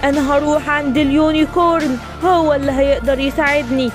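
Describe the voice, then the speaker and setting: A woman with an Egyptian Arabic accent performs a children's cartoon in a high, bright voice. She gives every character lively, expressive delivery. Background music plays loudly under her voice. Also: fast. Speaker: voice actor for all characters.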